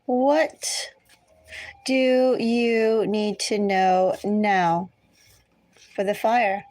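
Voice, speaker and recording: An American woman hosts a tarot livestream in a casual, chatty way, drifting into asides as she talks to viewers. Her voice sits at a middle pitch, and the sound is close and dry. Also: steady tone, very slow, darkish tone, no room sound. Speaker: tarot reader hosting a livestream.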